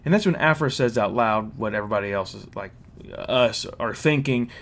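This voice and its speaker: A man casually recapping a story as a reviewer, with an animated manner and mocking asides. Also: fairly low pitch, moderate pace. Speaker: reviewer hosting a fan recap. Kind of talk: casual spoken plot recap and commentary.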